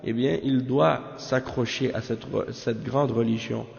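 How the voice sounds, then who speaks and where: A gravelly-voiced man with a cold gives a religious lesson on Quran exegesis, racing through Arabic passages and then unpacking them slowly. He stumbles, repeats words and corrects himself as he goes. The recording is dull and lo-fi, with a long echoing reverb. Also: mid-range voice, steady tone, a little mellow. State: has a cold.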